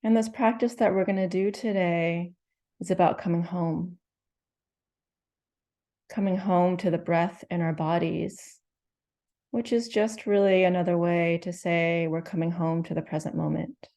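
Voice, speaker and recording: Meditation teacher, female, low, warm tone with a soft gentle delivery. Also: steady tone. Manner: slow, gentle, soothing instructions with long pauses. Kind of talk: guided meditation.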